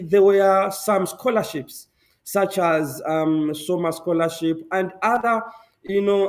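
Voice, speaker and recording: Interview guest from Zambia, male, young adult, high voice, lively and emphatic delivery. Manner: animated, laughs often, emphatic repetitions. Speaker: guest, public health professional. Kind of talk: conversational interview answers.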